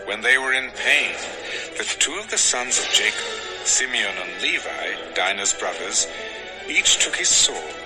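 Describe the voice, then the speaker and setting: A man's voice: lowish voice, expressive, measured pace, over music, poor audio. A man narrating a dramatized scripture story from a script.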